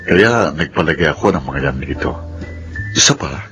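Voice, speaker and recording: A man's voice: extremely low pitch, lively intonation, normal speed. A man playing an earnest, lovelorn bachelor businessman in a romantic radio drama, tender in manner, with music underneath.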